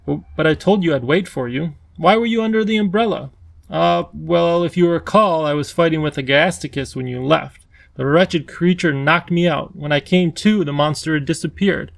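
A man's voice: fairly high pitch, moderate pace, creaky, no room sound. A man voicing a kindly, fussy older male professor who speaks in a flustered, old-fashioned way.

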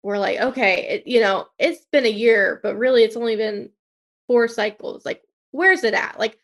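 A woman's voice: a little high, normal speed. A woman guest on a podcast, speaking casually and tentatively, often searching for the right word. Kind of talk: casual conversation.

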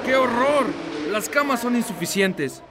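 A man's voice: extremely high pitch, animated, unhurried, heavy background noise. A young man playing an alarmed student's friend in a radio drama. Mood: dismayed. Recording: radio drama under loud music and effects.

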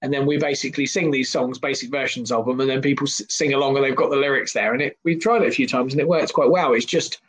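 A man's voice: mid-range voice, fairly even intonation, fast talker, hardly any pauses, a bit bright. A man in his thirties, a singer and comedian, chatting casually in a self-deprecating, jokey, rambling way. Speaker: podcast guest, singer and comedian. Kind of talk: casual chat.

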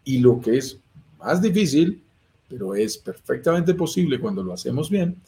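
A man's voice: mid-range voice, very animated, moderate pace, dark tone. A middle-aged Colombian man with a Colombian accent, hosting a live real estate investment stream, earnest and full of "eh" fillers. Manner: earnest, lists synonyms, frequent "eh" fillers. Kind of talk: livestream presentation to viewers.

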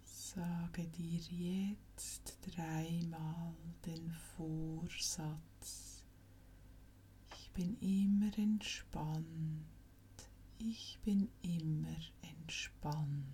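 A woman with a low, mellow voice guides a relaxation exercise extremely slowly. Her delivery is soft, breathy and close to a whisper, with long pauses between short phrases. She sounds calm and soothing throughout. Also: slight background noise. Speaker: yoga nidra instructor.

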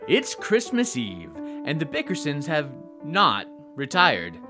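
A man's voice: on the high side, wildly swooping pitch, takes it slowly. A man announcing and narrating in a theatrical old-time radio style. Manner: theatrical, presentational. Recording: guitar music under the voice. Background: plucked guitar music.

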